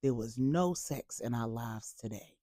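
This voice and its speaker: A woman's voice: a bass voice, a little slow, warm tone, no room sound, clean studio sound, voice pushed down. A woman voicing a playful, evasive grown son who pleads casually with his mother in an audio story. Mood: playful, defensive.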